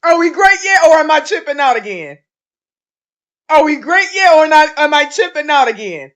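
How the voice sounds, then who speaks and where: A woman exclaiming loudly in a short played clip, laughing between lines. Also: high-pitched, sing-song, moderate pace.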